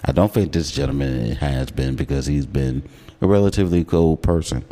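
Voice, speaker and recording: A man with an American accent and a deep voice, hosting a casual, opinionated radio talk show and taking care to enunciate. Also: moderate pace, dark tone. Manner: enunciates words carefully.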